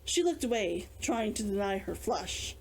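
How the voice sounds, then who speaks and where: A woman reading a fantasy novel aloud as its author, with expressive storytelling. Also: medium pitch, a little slow, slight background noise, rough recording. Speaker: author reading own book.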